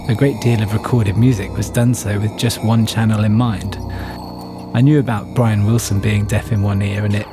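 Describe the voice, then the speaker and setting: Guy w a lowish voice doing scripted personal story narration, music behind him. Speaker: narrator telling own story.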